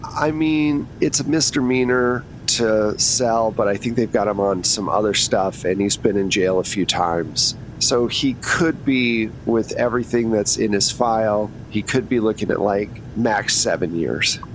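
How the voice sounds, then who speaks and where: A man's voice: on the low side, unhurried, close to an everyday voice, low-quality recording. A man voicing an easygoing, wry jailhouse lawyer in casual, frank tones for an improvised tabletop roleplay. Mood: frank, reassuring. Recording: steady background hum and noise.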